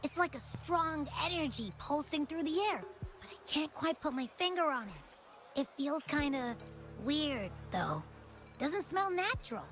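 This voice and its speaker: A woman's voice: high voice, very animated, measured pace, fairly bright tone, exaggerated voice. A woman voicing a small, childlike creature companion in a video game, curious, sleepy and chatty, musing aloud. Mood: curious, puzzled. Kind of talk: video game character dialogue. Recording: game music behind the voice. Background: game background music.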